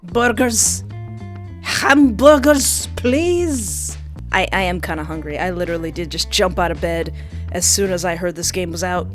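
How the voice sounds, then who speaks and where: A woman game streamer with a playful, expressive way of talking, reading narration aloud and commenting as she plays. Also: on the low side, a little slow, soft background music.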